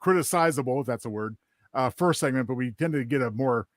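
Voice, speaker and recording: A man speaks as a panelist in casual conversation. His voice sits at an average pitch, and he phrases things carefully and hesitantly. The recording is dry. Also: quick pace, no room sound. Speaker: panelist on a listening show. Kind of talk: casual chat.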